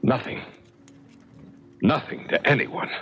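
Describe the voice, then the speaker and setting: A man's voice: takes it slowly, fairly bright tone. An American actor playing a protective, middle-aged professor father in a 1970s radio drama, firm and probing in manner. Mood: firm, insistent. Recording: dull, muffled vintage radio recording.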